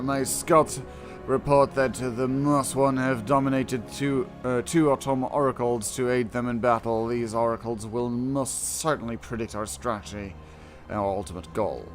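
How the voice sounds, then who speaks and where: A man casually commenting on a video game as he plays and reads quest text aloud, with dry, wry asides. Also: mid-range voice. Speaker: gaming video host. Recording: game music behind the voice. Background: video game music.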